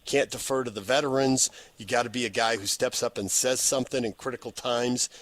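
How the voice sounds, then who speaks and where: A man's voice: medium pitch, steady tone, a little fast, fairly bright tone. A man hosting a sports radio show, building long interview questions out of short, punchy phrases.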